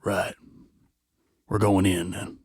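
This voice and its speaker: An actor playing a gruff, tense, commanding male outlaw in a western audio drama. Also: deep voice, little pitch movement, slow, darkish tone, a little hiss or hum. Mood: terse, businesslike. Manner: curt, commanding.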